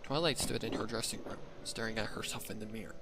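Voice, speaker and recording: A man's voice: fairly low pitch, fast, background noise. A man giving scripted narration of a fan fiction story.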